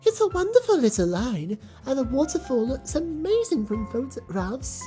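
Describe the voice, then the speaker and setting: A woman's voice: high voice, big pitch swings, moderate pace, mellow, faint music behind, voice pushed up, low-quality recording. A woman voicing a kindly, genteel female railway coach in a children's story, polite and admiring.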